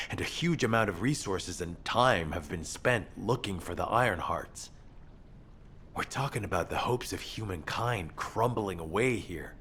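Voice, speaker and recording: Very deep-voiced troubled officer. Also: male voice, fairly bright tone, slight background noise. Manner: serious, reflective, thinking aloud. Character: earnest, troubled male executive officer. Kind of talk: video game voice acting.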